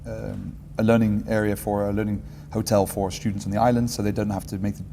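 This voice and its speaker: A man in his forties answering interview questions in a relaxed, meandering way, often correcting himself mid-sentence. His voice is low and mellow. The room gives a long reverberant tail, with faint ambience underneath. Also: fairly quick, gravelly, slight background noise. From England. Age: 40s. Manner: relaxed, rambling, self-correcting with light humor. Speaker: guest, entrepreneurship teacher.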